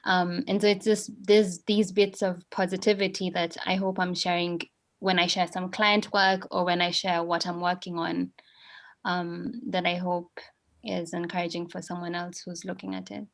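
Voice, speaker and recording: Gravelly-voiced woman, flat and measured. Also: fairly low pitch. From Kenya. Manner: measured, pausing often with ums. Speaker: guest artist. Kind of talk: reflective interview answers.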